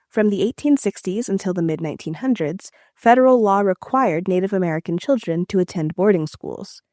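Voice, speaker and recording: A woman reporter narrating a scripted radio feature in a clear, even storytelling manner. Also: medium pitch, no room sound, clean studio sound.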